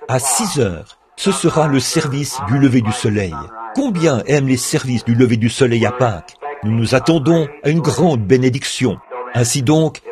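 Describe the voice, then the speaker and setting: Man reading a translated sermon. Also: medium pitch. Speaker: French reader of a translated sermon.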